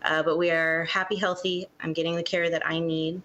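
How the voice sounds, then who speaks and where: A young adult woman with a slightly low voice is being interviewed. She gives candid, conversational answers about her own experience. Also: level tone, fairly quick, fairly bright tone.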